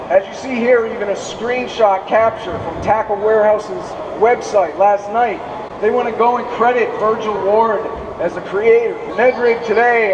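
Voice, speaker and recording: A man with a hoarse voice presenting a fishing seminar at an expo, explaining at length with frequent restarts. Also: high-pitched, lo-fi sound. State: hoarse from speaking. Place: expo seminar hall, indoors. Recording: echoey hall, distant mic, air-conditioning hum.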